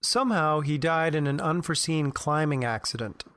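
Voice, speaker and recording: A man's voice: on the high side, moderate pace, fairly bright tone, dry recording. A man presenting a prepared commentary on fantasy game lore for a podcast, in explanatory fashion.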